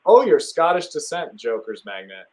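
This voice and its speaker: A young man from Wisconsin hosts a casual live stream. His voice sits a little high, and he talks with eager enthusiasm, looping through fillers and restarts as he hypes up his guests. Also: big pitch swings, moderate pace.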